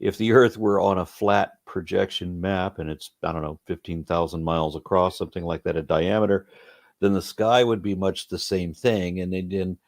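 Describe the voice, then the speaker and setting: Male guest, low voice, dry sound, wry debater. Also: steady tone, moderate pace, no room sound. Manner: wry, argumentative, talks in long explanatory runs. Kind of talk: casual video interview.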